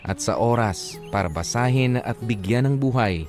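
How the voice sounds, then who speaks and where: An actor with a Filipino accent narrating a radio drama letter as a lovelorn, repentant man, measured and heartfelt. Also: medium pitch, lively intonation, a little mellow, close to an everyday voice. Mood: repentant, hopeful. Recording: music under the narration. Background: music.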